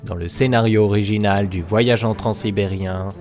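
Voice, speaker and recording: A man narrating a scripted mock documentary in a mock-serious, deliberate manner. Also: lowish voice, fairly even intonation, a little mellow, soft background music.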